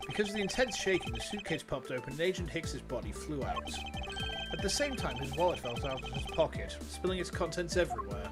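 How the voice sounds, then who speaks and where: A man with a Yorkshire accent reading a composed young male prosecutor's lines, measured and reasoning aloud over game music. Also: on the high side, very animated.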